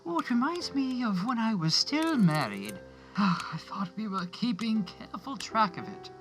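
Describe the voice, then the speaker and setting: A man playing a generous, fussy old gentleman businessman in a comedic radio play, chuckling and hesitant. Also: high-pitched, very animated, a little slow, faint music behind, full character voice. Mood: wistful, chuckling.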